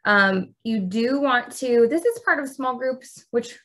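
A woman's voice: on the high side, expressive, a little slow, a bit bright. A woman who teaches preschool, talking informally in an online training workshop. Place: indoors on a video call. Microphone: video call.

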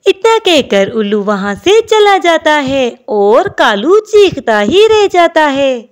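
Female narrator for children's cartoon tales, high voice, very lively intonation. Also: takes it slowly. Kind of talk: scripted children's story narration.

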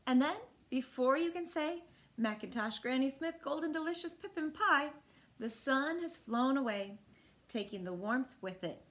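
Higher-voiced American woman reading a children's story. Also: lively intonation, measured pace, a bit bright. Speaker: storyteller reading a picture book. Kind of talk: children's read-aloud storytelling. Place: small indoor room.

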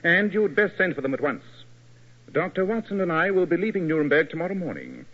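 Assured British sleuth, vintage radio drama; fairly high, lively pitch, authoritative. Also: male voice, brisk. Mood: commanding. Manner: precise, authoritative, superior. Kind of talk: 1940s radio drama acting. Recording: muffled old radio broadcast.

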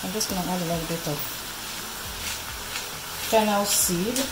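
A woman's voice: lowish voice, steady tone, moderate pace, very noisy. A woman thinking aloud in a casual cooking vlog as she works in her home kitchen. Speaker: home cook vlogger. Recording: loud music behind the voice.

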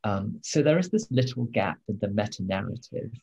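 A man, the book's translator, speaking in a measured, analytical way about the novel. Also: fairly low pitch, very animated, creaky, darkish tone, husky, dull audio. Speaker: translator guest. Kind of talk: thoughtful discussion.

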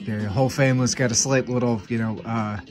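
A man's voice: mid-range voice, steady tone, darkish tone. Stuffed-up man chatting over music. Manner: upbeat, chatty, quick asides. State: stuffed up with nasal congestion. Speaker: gaming channel host. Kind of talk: casual game recommendations to camera. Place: room with game shelves, indoors.

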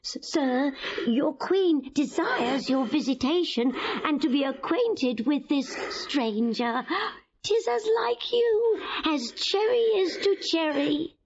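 Bold, high-voiced old court lady. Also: animated, slight background noise, heavily acted. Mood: excited, breathless. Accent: British. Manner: declamatory, drawn-out. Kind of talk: Shakespearean radio drama acting. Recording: slightly dull audio.

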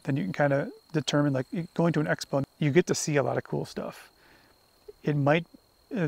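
Gravelly, husky man vlogging outdoors. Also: on the high side. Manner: relaxed, rambling with fillers and restarts. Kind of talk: casual talk to camera. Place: outdoor campsite among pine trees. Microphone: camera held at arm's length.

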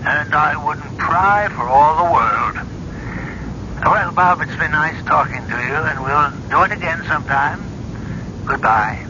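A wry, persistent older churchman, talks in measured, rhetorical phrases with a gentle needle in them. The sound is that of an old broadcast, muffled and noisy with rumble. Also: lively intonation. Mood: genial, needling. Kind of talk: radio drama acting.

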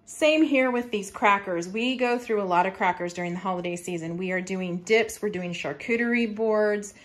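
A woman's voice: mid-range voice, normal speed. A middle-aged woman chatting casually through a grocery haul, explaining her family's meal plans in a homey way. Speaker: family lifestyle vlogger.

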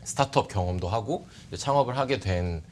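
A man in his thirties giving polite, measured explanations as an interview guest. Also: lowish voice, expressive, a little mellow, slight background noise. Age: 30s. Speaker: interview guest, startup CEO. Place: bright TV studio. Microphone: lapel mic.

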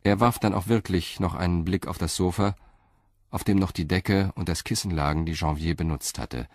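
A man narrating a crime story in a measured, sober and deliberate way. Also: deep voice, fairly even intonation, no room sound, clean studio sound.

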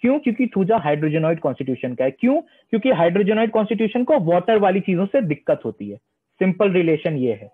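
A young man teaching homeopathy, reading English passages slowly and then explaining them, often answering his own questions. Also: sits high, very animated, husky. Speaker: homeopathy lecturer. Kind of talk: online lecture mixing Hindi and English. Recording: tinny phone-line sound.

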